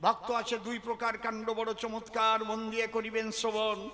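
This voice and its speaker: A man's voice: sits high, completely flat delivery, moderate pace. A man performs devotional rhymed verse theatrically, half speaking and half declaiming, putting questions to his listeners. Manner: theatrical, rhetorical questions to listeners, swelling from quiet to loud. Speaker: kobigaan folk poet-singer and religious storyteller. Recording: live hall sound with long reverb.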